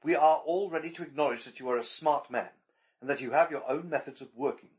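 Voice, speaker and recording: A man's voice: on the high side, fast, voice pushed up, lightly acted. A man voicing an impatient, blustering police detective in a dramatic audiobook, pressing his points insistently. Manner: insistent, challenging. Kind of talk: dramatic audiobook character reading.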